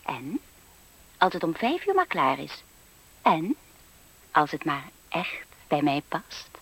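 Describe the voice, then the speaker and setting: An actress playing a bossy, scheming young maidservant in a brisk, theatrical 1970s Dutch radio fairy tale. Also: female voice, low voice, big pitch swings, a bit bright, breathy edge, exaggerated voice, rough recording. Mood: demanding, coaxing. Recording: vintage radio recording with background noise.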